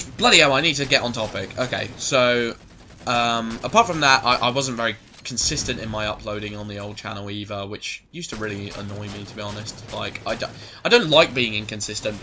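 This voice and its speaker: A man in his twenties giving rambling, sweary gameplay commentary, his voice going a bit hoarse. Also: lowish voice, sharp tone, a little hiss or hum. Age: 20s. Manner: rambling, swears a lot, goes off on tangents. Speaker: gaming commentator.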